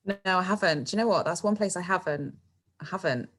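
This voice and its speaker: Middle-aged woman, lowish voice, chatty and laughing guest. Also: a little fast, fairly bright tone. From England. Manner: chatty, laughs often, many fillers and tag questions. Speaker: podcast guest, singer. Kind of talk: casual interview chat.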